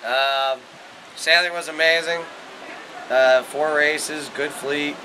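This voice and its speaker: A man's voice: a little high, fairly even intonation, slow pace, bright, forceful tone, low-quality recording. Man in his forties, slightly raised voice. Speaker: sailor. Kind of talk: casual interview. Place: outdoors on a porch of a house. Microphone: camera mic at a distance. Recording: noticeable outdoor noise.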